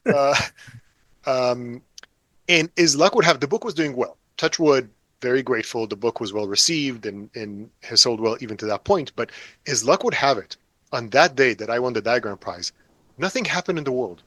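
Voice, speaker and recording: A man in his forties, chatting as an interview guest with a dry, self-mocking wit. His voice sits at an ordinary middle pitch and sounds close and dry, with no room around it. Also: lively intonation, moderate pace, fairly bright tone, dry recording. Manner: wry, self-deprecating, joking. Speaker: author guest. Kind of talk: casual interview chat.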